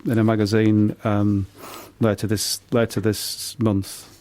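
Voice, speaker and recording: An older British man, a poet being interviewed, who speaks haltingly and often repeats the starts of his words. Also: lowish voice, little pitch movement, darkish tone. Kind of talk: casual radio interview.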